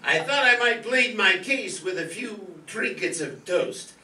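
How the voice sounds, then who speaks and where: A man playing a glib, courtly gentleman suitor in a theatrical radio soap opera parody. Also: high voice, expressive, a little slow, heavily acted. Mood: courtly, flattering. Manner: smooth-talking, theatrical, courtly. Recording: echoey stage, far mic.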